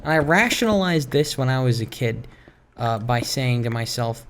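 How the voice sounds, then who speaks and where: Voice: medium pitch, animated, moderate pace. A gaming streamer chatting casually and hesitantly in a wry, rambling way over gameplay, recorded in a room at home. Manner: rambling, hesitant, self-interrupting. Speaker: gaming video creator and streamer. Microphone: streaming microphone. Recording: home microphone with clear background noise.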